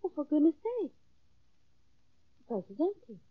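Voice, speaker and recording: A woman's voice: high-pitched, lively intonation, moderate pace. Detective's wary girlfriend, dull old recording. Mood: teasing. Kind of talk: 1940s radio detective drama acting.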